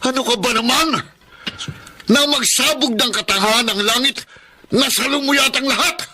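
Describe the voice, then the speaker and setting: A man's voice: very high voice, normal speed, over a noisy crowd. A man with a Filipino accent playing a protective, exasperated older father who scolds emphatically in a radio drama. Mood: exasperated scolding.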